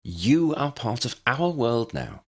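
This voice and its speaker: A man's voice: medium pitch, big pitch swings, slow pace, vocal fry, voice pushed up, heavily acted. A man voicing an earnest, appreciative adult witch in an audiobook, speaking with emphasis. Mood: warm, grateful.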